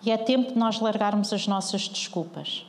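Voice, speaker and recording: A woman's voice: mid-range voice, moderate pace, big-room sound. A woman pastor with a European Portuguese accent preaching a sermon, earnestly questioning the congregation.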